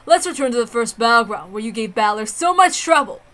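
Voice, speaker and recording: Woman as composed, haughty witch duelist for visual novel drama; slightly high voice, formal and taunting. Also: lively intonation, brisk, fairly bright tone. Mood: composed challenge.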